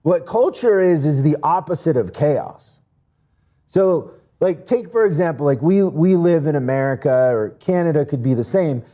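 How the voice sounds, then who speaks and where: A man's voice: fairly high pitch, lively intonation, normal speed, dark tone. A man who grew up in Mexico, a podcast guest talking business in an earnest, casual way and often restarting his words. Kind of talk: casual interview talk.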